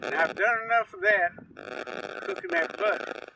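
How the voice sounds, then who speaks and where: A man's voice: extremely high pitch, slow, creaky, noisy background. A man telling rambling, folksy stories in casual chat outdoors on a riverbank, picked up from some distance. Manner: rambling, folksy, animated storyteller. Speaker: storytelling uncle, guest.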